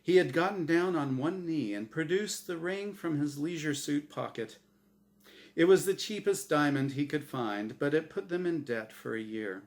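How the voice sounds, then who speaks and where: A man reading his own story aloud as a dramatic, humorous narration, deliberate and expressive. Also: on the high side.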